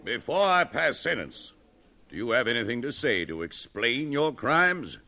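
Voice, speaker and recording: An actor playing a stern, impatient judge with a curt, commanding manner in a 1950s radio drama. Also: male voice, medium pitch, big pitch swings, a little slow, vocal fry, pushed and bright, heavily acted. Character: stern, impatient male judge passing sentence. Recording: noisy 1950s radio recording.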